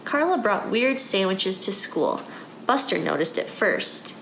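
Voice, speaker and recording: Animated woman reading to children, roomy sound. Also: mid-range voice, a little slow, gravelly. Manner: addresses children directly with questions. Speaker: children's story reader. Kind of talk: guided picture-book read-aloud for children. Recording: echoey room with background noise.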